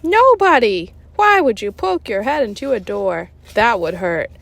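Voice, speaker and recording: A woman reading a children's book aloud in an expressive, conversational narrating style. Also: on the high side, a little slow, creaky, no echo. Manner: animated, conversational. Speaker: storybook reader.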